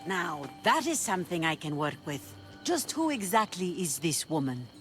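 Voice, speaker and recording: A woman's voice: fairly low pitch, sing-song, normal speed, soft background music. Xenia, commanding pirate queen. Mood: wary, amused. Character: Xenia, commanding, shrewd female pirate leader. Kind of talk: dramatic video game cutscene acting.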